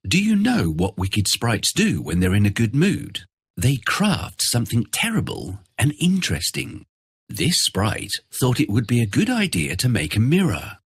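A man narrates a children's fairy tale with theatrical flair. His voice is low and very raspy, and it swings dramatically in pitch. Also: dry recording, studio quality. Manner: expressive, theatrical storytelling.

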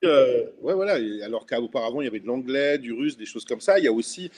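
A man's voice: mid-range voice, animated, fast, a little mellow. A middle-aged man speaking as a guest on a video call, thoughtful and enthusiastic, with frequent fillers. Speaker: guest, former local culture official. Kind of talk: casual video call discussion. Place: indoor room at home. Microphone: laptop webcam video call.

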